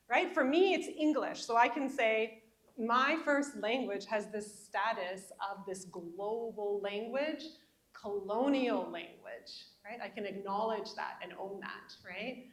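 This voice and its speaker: A woman's voice: a little high, reverberant. A woman presenting an interactive session, putting questions to the audience. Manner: guiding, asks the room questions.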